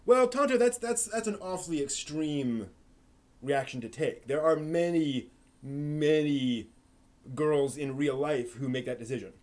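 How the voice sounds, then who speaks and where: A man's voice: fairly high pitch, a little mellow. Rambling man hosting a live stream. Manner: rambling, halting, many restarts and fillers. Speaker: host of an anime live stream. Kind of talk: casual discussion answering chat.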